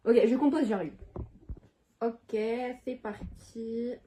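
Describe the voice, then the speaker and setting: A teenage girl with a rather high voice talks to her viewers in loose, slangy chat. She is exclamatory and quick to get exasperated. The home-made recording carries a faint noise behind her. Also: warm tone. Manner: exclamatory, swearing, easily exasperated. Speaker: video host making prank calls. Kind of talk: casual slangy vlog chat.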